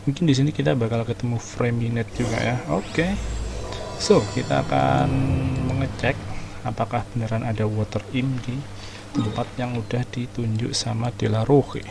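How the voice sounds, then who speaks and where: A man's voice: mid-range voice, steady tone, a little fast, creaky. A man with an Indonesian accent giving casual commentary as he plays through a game. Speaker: gaming video host.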